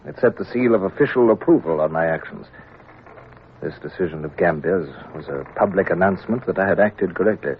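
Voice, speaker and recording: A man's voice: low voice, brisk, slight background noise, natural voice. An actor playing a proud, brusque naval captain who narrates his own story in a 1950s radio drama. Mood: brooding. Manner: clipped, brusque commands. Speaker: narrator and lead. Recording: tinny old radio broadcast, faint music.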